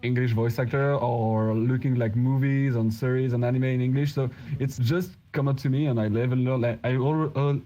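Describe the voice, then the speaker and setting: A man's voice: medium pitch, steady tone, normal speed, few pauses, warm tone. A man from France with a French accent, a native French speaker, chatting casually in English and often searching for words. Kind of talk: casual chat. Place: online VR voice chat. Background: music.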